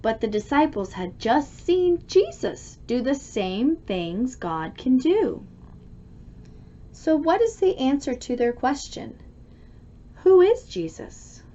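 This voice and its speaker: A woman reading a children's storybook aloud expressively, with dramatic, deliberate emphasis. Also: high-pitched, sing-song, dark tone, highs cut off, a little hiss or hum.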